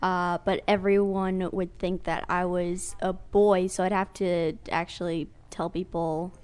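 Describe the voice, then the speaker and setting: A woman's voice: lowish voice, little pitch movement, a little slow, darkish tone, clear, resonant tone. A woman co-hosting a gaming podcast in casual chat, thoughtful and a little hesitant, with fillers. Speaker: podcast co-host.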